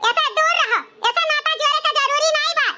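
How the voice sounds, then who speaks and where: A performer with a pitched-up, squeaky voice and an eastern Uttar Pradesh accent, voicing a melodramatic, complaining village woman in a comedy cartoon. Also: a little fast, noisy background. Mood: scolding, accusing. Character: scolding village mother-in-law and wronged widowed daughter-in-law, cartoon women.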